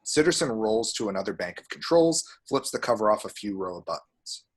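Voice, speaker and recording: A man's voice: lowish voice, animated, a little fast, husky. A man reading screenplay action and scene headings as narration for an amateur table read. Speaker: reader of screenplay action description.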